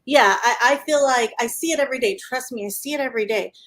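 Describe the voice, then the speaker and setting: Halting, casual woman on a panel. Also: fairly high pitch, a bit bright. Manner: halting, hedging, rephrases as she goes. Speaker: panel guest, activist.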